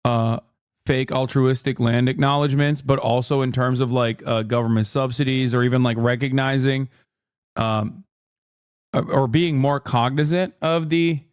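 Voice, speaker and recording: A man's voice: medium pitch, steady tone, normal speed, clean tone, dry recording. Guy streaming casually, rambly and sweary, kinda exasperated, really clean close dry sound. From United States. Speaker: streamer reacting to a video. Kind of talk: casual live-stream commentary.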